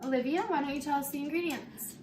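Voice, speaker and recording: A woman's voice: high voice, fast talker. A teenage girl presenting casually on location as a student reporter. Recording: echoey, distant mic, music over it.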